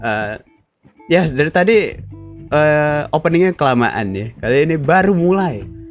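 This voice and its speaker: A man's voice: fairly high pitch, expressive, measured pace, soft background music. A young man hosting a casual fan-commentary video, chatty and slangy, full of fillers and tag questions. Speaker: YouTube channel host.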